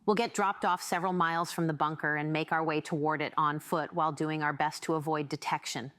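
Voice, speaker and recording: A woman's voice: low-pitched, steady tone, brisk, fairly bright tone, no room sound, clean studio sound. A woman voicing a nonchalant, unfazed female comrade with a casual purr, in an audiobook. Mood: serious explaining. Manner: purring, casual, unbothered. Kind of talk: audiobook character voice.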